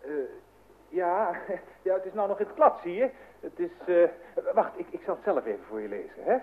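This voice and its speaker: An actor playing an excitable, bashful young son in a radio play, hesitant, flustered and self-important. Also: male voice, high voice, moderate pace. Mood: flustered, indignant. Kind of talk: Dutch radio play acting. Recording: tinny band-limited old radio recording, noisy.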